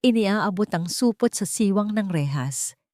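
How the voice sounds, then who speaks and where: A woman narrating a scripted first-person dramatic story in measured, short phrases. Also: mid-range voice, animated, darkish tone, no echo, clean studio sound.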